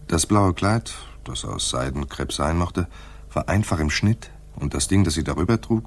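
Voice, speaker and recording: Low-voiced wry private detective. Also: male voice, breathy edge, full character voice. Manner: laconic, sardonic. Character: wry, cool male private detective narrating his case. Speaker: narrator and lead actor in a radio play. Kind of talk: hardboiled crime radio drama.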